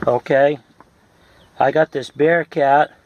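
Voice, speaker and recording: A man giving a casual, halting, folksy hands-on demonstration as a radio hobbyist, from the United States. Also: on the high side, fairly even intonation, lo-fi sound. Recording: noisy home video with radio sound.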